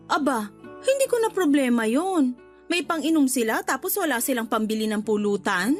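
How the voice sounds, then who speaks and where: A married woman in a radio drama, keeping house and laying down the law to her brother. Her voice is high, emphatic and preachy, over a soft music bed. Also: lively intonation, moderate pace. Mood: annoyed. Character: exasperated married woman, homeowner scolding her older brother. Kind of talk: Tagalog radio drama acting.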